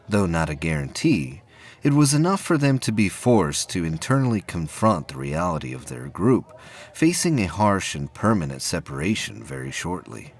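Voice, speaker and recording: A man reading scripted narration for a science fiction audio play, with a husky voice. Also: lowish voice.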